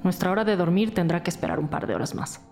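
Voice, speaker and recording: An actress playing a decisive, dedicated lead detective in a scripted crime podcast drama. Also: female voice, fairly low pitch, fast, vocal fry, over music. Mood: determined.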